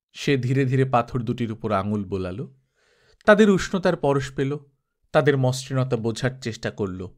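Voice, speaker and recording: Expressive male audiobook narrator. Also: mid-range voice. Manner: measured, expressive storytelling. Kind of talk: scripted narration of a novel.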